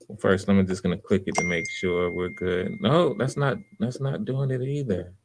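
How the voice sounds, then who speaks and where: A man hosting live auditions in casual, unscripted chat, with an encouraging manner and a halting way of repeating and backtracking. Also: deep voice, slight background noise. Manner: encouraging, supportive. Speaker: host running live voice auditions.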